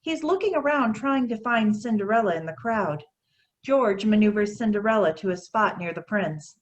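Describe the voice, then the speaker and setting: A woman's voice: mid-range voice, animated, moderate pace. A woman reading stage directions as scripted narration for a play.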